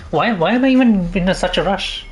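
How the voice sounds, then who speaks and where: A man's voice: high voice, animated, a little fast. A man giving casual gameplay commentary, talking himself through each move. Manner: talks himself through moves, mild swearing. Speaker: gaming streamer. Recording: loud game noise behind voice. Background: game sound effects and ambience.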